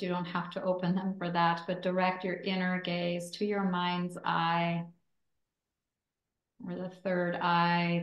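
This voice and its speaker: A woman instructor leading a guided yoga relaxation in a soothing, gentle, deliberate way with long pauses between phrases. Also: fairly low pitch, roomy sound, rough recording.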